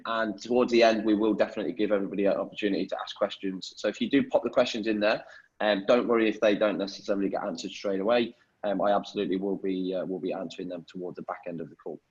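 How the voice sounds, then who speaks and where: A man hosting a webinar over a video call, talking in a halting way with many fillers and restarts. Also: on the low side, highs cut off. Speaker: webinar host.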